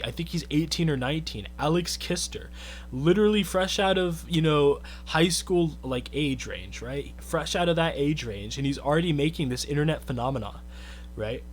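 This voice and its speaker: A man's voice: on the high side, slight background noise. Earnest young man musing aloud. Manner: earnest, thinking aloud with many "I think" and "you know". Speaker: YouTube commentator. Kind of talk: casual commentary rant.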